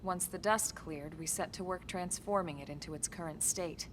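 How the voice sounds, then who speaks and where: Actress as a serious lady officer in a game, lowish voice, measured and matter of fact, bit of noise behind. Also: close to an everyday voice. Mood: grave, measured. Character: composed, serious female military officer giving a briefing. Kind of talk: English video game cutscene acting.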